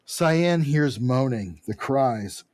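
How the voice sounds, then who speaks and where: A man's voice: medium pitch, animated, extremely slow, darkish tone, no echo, clean studio sound. A man co-hosting a podcast, casually recapping comics in an irreverent, profane, jokey way. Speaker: podcast co-host.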